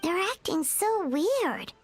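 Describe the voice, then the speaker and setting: A woman voicing a tiny, excitable, blunt fairy companion in an anime game, with a squeaky childlike voice. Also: slow pace, heavily acted. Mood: puzzled. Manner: exclamatory, speaks of herself in third person. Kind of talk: scripted anime game dialogue. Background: background music.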